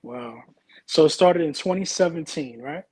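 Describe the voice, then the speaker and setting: A man's voice: a little high, moderate pace, darkish tone, a little hiss or hum, poor audio. A man interviewing a guest in a casual, emotive conversational way.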